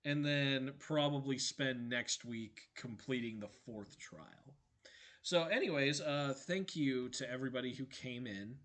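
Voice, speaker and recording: A hoarse male streamer in casual stream chat, tired and rambling, thanking his viewers. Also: mid-range voice, measured pace, a bit bright. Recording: game music playing behind.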